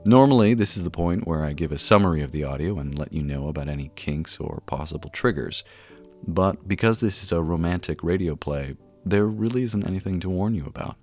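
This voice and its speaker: Male host, extremely low bass voice, scripted episode intro with guitar music behind. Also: animated, a little fast, darkish tone. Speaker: podcast host.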